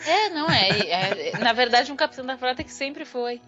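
A woman's voice: high voice, lively intonation, fairly quick, sharp tone. A Brazilian woman co-hosting a podcast, talking informally and thinking aloud in a casual fan discussion. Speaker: podcast co-host. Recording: dull lo-fi audio, music underneath. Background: background music.

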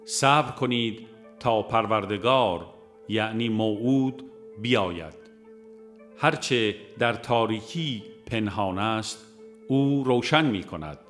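A man reading a religious audiobook in a measured, formal and deliberate scripted narration. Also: lowish voice, a bit bright. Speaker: audiobook reader.

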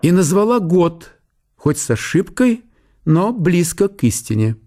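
Higher-voiced, animated male narrator. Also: unhurried. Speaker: narrator in a radio drama. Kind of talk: dramatised literary narration.

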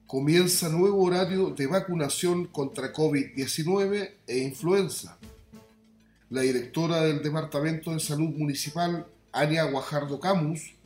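A man's voice: a little high, a little slow, a little mellow, roomy sound. A man with a Chilean accent presenting a scripted radio newscast. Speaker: news presenter. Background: music bed.

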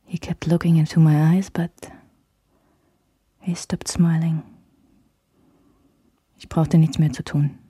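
Radio drama narrator: candid, wistful woman, low mellow voice, intimate dry delivery, reflective. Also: flat delivery, slow, long pauses, breathy edge, no echo, full character voice. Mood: tender, intimate. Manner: reflective, alternates German with English phrases. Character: woman recalling a love triangle, wistful and candid. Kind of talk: intimate first-person scripted radio narration.

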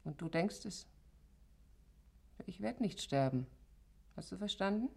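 An actress playing an anxious, guilt-ridden village wife in her forties in a radio play, brooding and suspicious, with a husky, creaky voice. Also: medium pitch, lively intonation, measured pace, heavily acted. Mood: brooding, afraid. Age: 40s. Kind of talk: German radio play acting.

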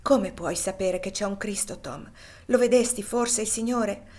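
A grieving plantation master, torn by doubt, pleads and questions in an anguished voice, as a woman reader gives him lines. The voice sits at an ordinary middle pitch and stays simple rather than theatrical. It is an audiobook reading. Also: a little slow, darkish tone, close to an everyday voice. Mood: despairing.

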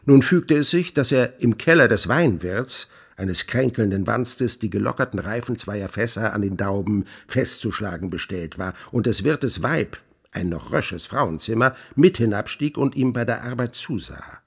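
A man playing a grandiloquent scholar in a radio play, recounting witchcraft lore with relish in declamatory, archaic phrasing and emphatic pauses. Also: lowish voice, lively intonation, creaky, slightly rough, heavily acted. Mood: relishing, dramatic. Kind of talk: theatrical literary storytelling in a radio play.

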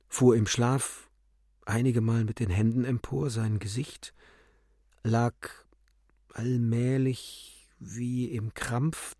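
A man narrating a literary radio drama in a theatrical way, moving between declamation and hushed, measured reading. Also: mid-range voice, breathy edge, no echo, clean studio sound.

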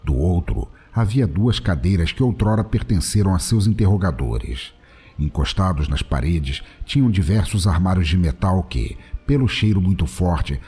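Male audio drama narrator: very raspy, dark timbre, scripted storytelling delivery. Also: low-pitched.

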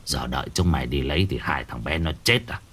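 A man voicing a gruff old male village shaman who barks curt orders in a low growl. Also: fast, fairly bright tone, full character voice. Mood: harsh, scolding.

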